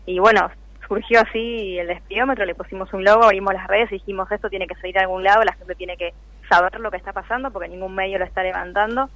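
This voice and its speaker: A woman's voice: mid-range voice, fairly even intonation, quick pace, fairly bright tone, no room sound, rough recording. A woman, a guest speaking conversationally in a radio interview over the telephone. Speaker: interview guest, activist communicator.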